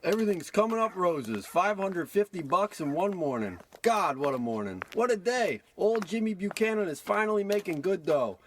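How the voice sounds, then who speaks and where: A man's voice: high-pitched, big pitch swings, normal speed, hardly any pauses, noisy background. A man playing a brash, scheming small-time American huckster with slangy old-timey patter in a parody radio play. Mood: smug.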